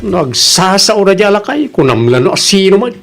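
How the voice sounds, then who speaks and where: Comedy radio drama son-in-law played by a man: Filipino, brash, defiant driver, high male voice, very animated delivery. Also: moderate pace, gravelly, faint music behind, exaggerated voice. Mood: chatty, agreeable. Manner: brash, boastful. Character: cocky, hot-headed jeepney driver son-in-law, proud and defiant.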